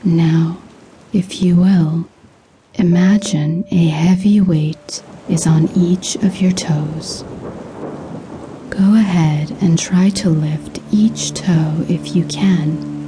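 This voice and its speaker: A woman narrating a scripted guided sleep hypnosis in a soothing, hushed, breathy voice with measured pauses. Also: on the low side, steady tone, background noise.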